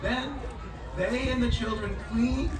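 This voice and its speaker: An echoey stage with a noisy crowd carries a performer as a wise village storyteller in a puppet show. The voice is slow, deliberate and musing. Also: mid-range voice, steady tone, loud music behind the voice, natural voice. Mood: measured, wise. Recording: echoey, distant stage with audience noise.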